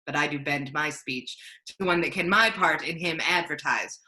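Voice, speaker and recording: A woman playing a grave, courteous male ruler in a staged Shakespeare reading, formal and measured in phrasing. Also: low-pitched, bright, forceful tone. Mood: solemn, stately. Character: grave, courteous male ruler handing over his power.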